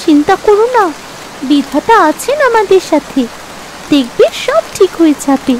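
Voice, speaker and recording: A woman's voice: very high voice, animated, moderate pace, a little mellow, a little hiss or hum, low-quality recording. A woman voicing a caring, hopeful young daughter in a cartoon moral story, earnest and soothing. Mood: reassuring.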